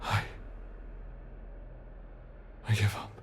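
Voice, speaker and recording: A man's voice: drawn-out words, fairly bright tone, slight background noise. A man with a British accent playing a predatory male monster from under the bed in an ASMR horror roleplay, taunting his prey with playful menace. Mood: taunting chase.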